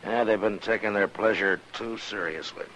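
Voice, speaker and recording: An actor playing a firm, weary US Marshal in an old-time radio western, plain-spoken and measured. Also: male voice, fairly low pitch, fairly even intonation, fairly bright tone, natural voice, rough recording. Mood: calm, weary. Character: firm, weary frontier US Marshal, steady and unflinching. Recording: hissy old radio recording.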